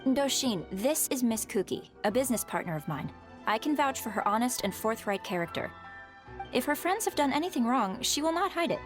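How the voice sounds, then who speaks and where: A woman's voice: a little high, sing-song, brisk, faint music behind. An actress voicing a gracious, tactful businesswoman in a video game, polite and persuasive. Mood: conciliatory.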